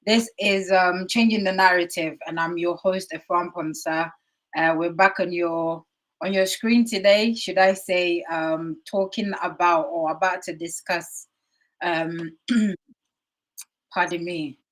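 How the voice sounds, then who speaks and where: Slow, low-voiced woman host with a cold. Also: steady tone. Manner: unhurried, filler-heavy. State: has a cold. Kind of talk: online show hosting.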